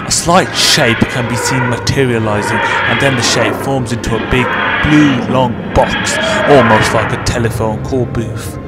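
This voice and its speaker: A man's voice: mid-range voice, steady tone, unhurried, hardly any pauses, over loud music, low-quality recording. A man reading scripted audiobook narration for a science-fiction story.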